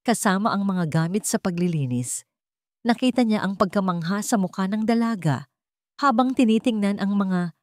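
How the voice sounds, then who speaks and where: A woman narrating a dramatic story in a measured, suspenseful way, as scripted audio fiction. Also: lowish voice, darkish tone, no echo, clean studio sound.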